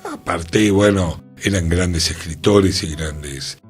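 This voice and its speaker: A male radio co-host who speaks in halting, broken-up phrases. He talks very slowly in a lowish voice, with soft music behind him. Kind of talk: radio talk about theater history.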